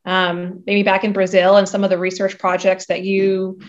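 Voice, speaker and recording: A woman's voice: medium pitch, monotone, normal speed. A young woman from Colorado, raised in Florida, chatting as an interviewer and asking questions in a friendly way. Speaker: extension interviewer. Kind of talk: interview hosting. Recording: dull lo-fi sound.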